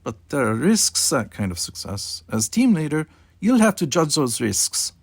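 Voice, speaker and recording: A stern Russian fleet officer, acted by a male reader, briefing in a raised voice with some gravel. He is matter-of-fact and instructive, in the manner of an audiobook character, recorded very dry. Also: on the high side, sing-song, unhurried, dry recording, voice pushed up, heavily acted. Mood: matter-of-fact, critical.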